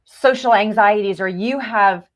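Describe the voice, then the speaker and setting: Woman co-host chatting, opinionated and blunt, ordinary mid pitch, no-nonsense. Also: a little slow. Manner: blunt, matter-of-fact. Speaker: talk show co-host. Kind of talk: casual opinionated chat.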